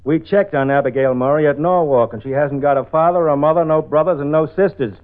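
A man's voice: a little high, fairly quick, natural voice. An actor playing an impatient male police detective in a 1940s radio drama, firing brusque questions. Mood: stern. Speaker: police officer questioning the lead. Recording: tinny old radio broadcast.

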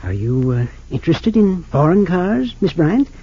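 An actor playing a wealthy, suspicious father of sixty-eight in an old radio drama, earnest and slightly halting. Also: on the high side, sing-song, dark tone, a little hiss or hum. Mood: bitter, suspicious. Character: wealthy, suspicious 68-year-old father, bitter about his sons. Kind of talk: 1940s-50s radio drama acting. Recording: dull lo-fi old radio recording.

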